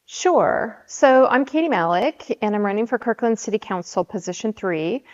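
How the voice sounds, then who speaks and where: A woman from the Seattle area, a candidate in her forties, answering interview questions in a measured way with frequent ums. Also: mid-range voice, lively intonation. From Seattle area, USA. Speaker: city council candidate. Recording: dull audio with long hall reverb.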